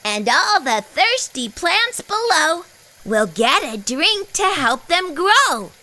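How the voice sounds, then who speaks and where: Woman voicing a high, friendly little truck. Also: very animated, takes it slowly, bright, forceful tone, exaggerated voice. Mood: welcoming, reassuring. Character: friendly, reassuring little truck, kindly leader of animals.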